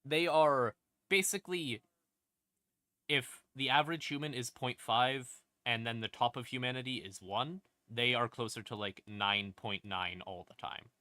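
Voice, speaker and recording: A man streaming a casual lore explainer in an informal, sweary, conversational way, with emphatic, dramatic stresses and drawn-out words. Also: medium pitch, pushed and bright, no echo, studio quality. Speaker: streamer, host. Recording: music playing behind the voice. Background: creepy ambient music.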